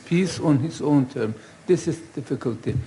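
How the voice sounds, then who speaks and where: A man's voice: fairly high pitch, normal speed, mellow, slight background noise. A man moderating a conference panel with brief, clipped interjections. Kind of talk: chairing a panel.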